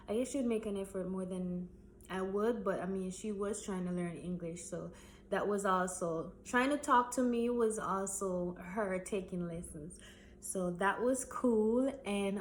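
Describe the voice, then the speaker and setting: A woman's voice: medium pitch, slight background noise. A young woman from Jamaica telling a storytime vlog in a casual, rambling way with many ums and restarts. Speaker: vlogger.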